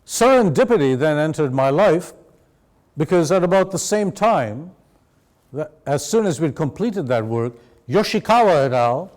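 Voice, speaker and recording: A man raised in Sri Lanka giving a measured, explanatory university lecture to an audience. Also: on the high side, lively intonation, darkish tone. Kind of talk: academic lecture.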